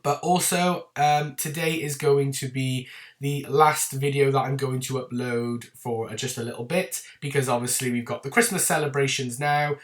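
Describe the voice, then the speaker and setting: A man hosting his own film review channel, talking casually to camera while sounding bunged up and a little rough from a winter cold, chatty and full of ums. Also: medium pitch, steady tone, moderate pace, recorded from a distance. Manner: chatty and rambling, with many ums and apologies. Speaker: YouTube channel host.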